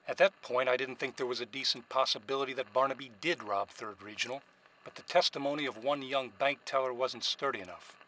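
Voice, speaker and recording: A cool, unruffled private detective, acted by a man in an old-style noir radio play. He speaks at a middling pitch with clipped, businesslike phrasing, over a clearly noisy recording. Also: brisk, pushed and bright, natural voice. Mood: cool, narrating. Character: cool, curt male private investigator, narrating his case. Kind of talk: 1940s noir radio drama acting and narration.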